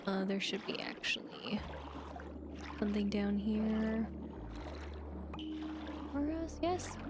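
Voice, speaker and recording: A woman's voice: mid-range voice, expressive, takes it slowly, background noise. A woman streaming a video game, giving casual, rambling commentary as she thinks aloud. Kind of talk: casual live gaming commentary.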